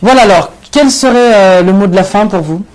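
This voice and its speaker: A woman interviewer asking pressing, somewhat halting questions. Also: medium pitch, a little mellow, low-quality recording.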